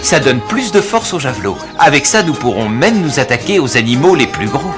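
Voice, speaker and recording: A man's voice: medium pitch, big pitch swings, brisk, over music, close to an everyday voice. An actor playing a clever, inventive cave man in a cartoon dub, confident and teasing.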